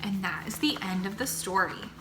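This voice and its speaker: A woman teacher reading a picture book aloud to children, slow and expressive, then posing questions to them. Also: mid-range voice, noisy background. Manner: slow, expressive and warmly animated.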